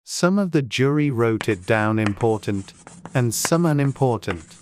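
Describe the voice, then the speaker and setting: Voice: medium pitch, animated, unhurried, slight background noise. An audiobook narrator reading a classic tale in a dramatized storybook style.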